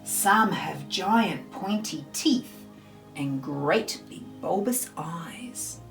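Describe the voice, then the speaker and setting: A woman reads a picture book to young children in a lowish voice. She is theatrical and deliberate, with lively intonation, in an echoey room. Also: creaky. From Australia. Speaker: storyteller, school librarian. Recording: roomy echo, faint music behind.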